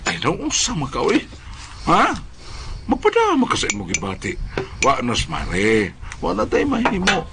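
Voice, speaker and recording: A man's voice: high voice, wildly swooping pitch, a little slow, long pauses, full character voice. A man playing a concerned older family friend in a radio drama, consoling and exclaiming with a Visayan Filipino accent. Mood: urgent, consoling. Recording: noisy background.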